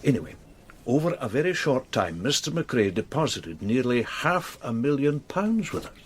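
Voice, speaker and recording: Lively-pitched, businesslike male bank manager. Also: moderate pace, husky. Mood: earnest, explanatory. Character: prim, talkative male bank manager reporting suspicions. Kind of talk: radio drama acting.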